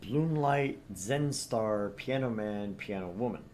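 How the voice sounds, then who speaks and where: A man's voice: on the low side, animated, slow pace, darkish tone, slight background noise. A man co-hosting a casual let's play, reading game menus aloud with wry commentary. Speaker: gaming co-host.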